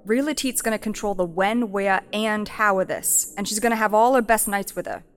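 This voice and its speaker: A woman's voice: medium pitch, fairly quick, clean tone, natural voice. An actress playing a brash, hard-bitten thief queen in a fantasy audio drama, blunt, profane and commanding, with long reverb. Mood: stern.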